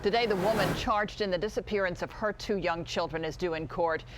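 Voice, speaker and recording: A woman's voice: fairly low pitch, brisk. Woman news anchor reading headlines.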